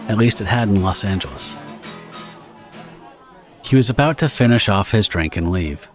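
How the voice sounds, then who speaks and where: A man with a fairly deep voice reads scripted narration for a horror audio drama. He tells the pulp story as its narrator, with light plucked guitar music playing underneath. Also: expressive, moderate pace.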